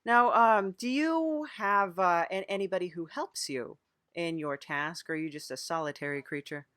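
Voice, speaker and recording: Casting: female podcast interviewer, slightly low voice, agreeable and tentative straight foil in improvised comedy, close dry sound. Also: moderate pace, fairly bright tone, no room sound. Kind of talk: improvised mock interview, casual.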